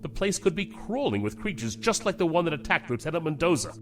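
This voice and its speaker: An actor playing a gruff, terse spaceship captain who gives firm orders under pressure in a science fiction audio drama. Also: male voice, fairly high pitch, big pitch swings, quick pace. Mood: stern, urgent. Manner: terse, authoritative.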